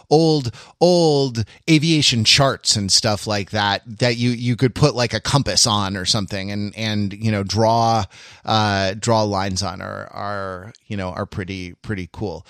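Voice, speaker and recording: A man co-hosting a podcast, explaining casually in a halting, conversational way that tends to trail off. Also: lowish voice, a bit bright, smooth, no echo, studio quality. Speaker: podcast co-host.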